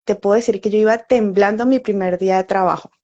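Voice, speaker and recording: A woman's voice: medium pitch, steady tone, fast talker, mellow. A woman from Venezuela, an oncology nutritionist, chatting casually on a live stream with many fillers and frequent laughs. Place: home, living in Bogotá. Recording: dull lo-fi sound.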